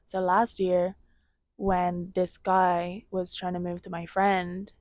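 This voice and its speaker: A young woman with a slight Irish accent, co-hosting a podcast and telling a gossip story in a casual, animated way, swearing and acting out quotes. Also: fairly low pitch, takes it slowly, no room sound, clean studio sound. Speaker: podcast co-host.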